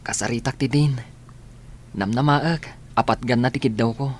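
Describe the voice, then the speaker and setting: A man's voice: mid-range voice, normal speed, slight background noise, close to an everyday voice. A man playing a dutiful, determined, sickly young student in a radio drama, speaking in an earnest, reassuring way.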